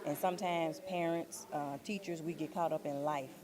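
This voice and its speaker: A woman giving earnest, deliberate interview answers as a director and playwright. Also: deep voice, fairly even intonation, a crowd in the background. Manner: earnest, faith-minded, deliberate.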